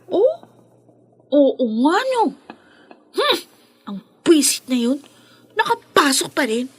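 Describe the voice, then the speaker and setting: A woman's voice: sits high, very animated, slow, full character voice. A woman with a Filipino accent playing a spoiled, haughty, sneering schoolgirl bully in a radio drama. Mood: irritated, sneering. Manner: sneering, bratty.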